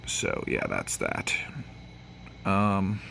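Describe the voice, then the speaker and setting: A man's voice: low-pitched, wildly swooping pitch, extremely slow, vocal fry, a bit bright, slight background noise. A man from Tennessee, with a Tennessee accent, giving casual, rambling gameplay commentary with lots of fillers, sniffly from allergies. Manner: rambling, with frequent fillers and restarts. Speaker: gaming channel host.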